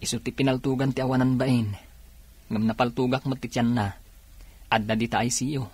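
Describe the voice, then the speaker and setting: A man playing a mild, dutiful police officer son in an Ilocano radio drama, with a slightly husky voice. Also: mid-range voice, level tone, fairly quick, a little mellow, dry recording, natural voice. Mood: reassuring.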